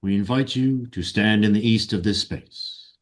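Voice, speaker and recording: A man leading a guided meditation and ceremonial invocation in solemn, deliberate short phrases. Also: lowish voice. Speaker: meditation guide and ritual leader.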